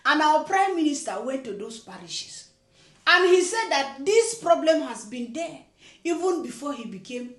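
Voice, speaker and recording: A woman hosting her own commentary channel, talking in a high, bright voice. She is chatty and emphatic, laughs often, and circles back over her points. Her voice rings in a noticeably echoey room. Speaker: channel host. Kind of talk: political commentary vlog.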